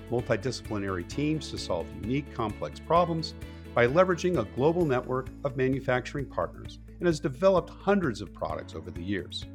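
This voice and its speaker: A man hosting a business interview podcast, upbeat and encouraging, laughing often with his guest. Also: lowish voice. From California. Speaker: podcast host. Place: Manhattan.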